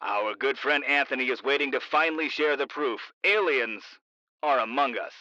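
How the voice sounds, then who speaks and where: A man's voice: mid-range voice, moderate pace, bright, forceful tone, dry recording, highs cut off, faint music behind, close to an everyday voice. An actor playing a late-night radio talk show host who hypes his audience in a showy way.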